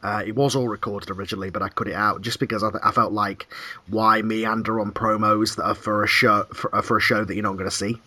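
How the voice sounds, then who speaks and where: A man hosting a podcast in casual chat, his voice croaky and hoarse as he talks in a meandering way, clearing his throat often and swearing casually. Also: fairly low pitch, level tone, fast talker, bright, forceful tone, no room sound. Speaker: podcast host.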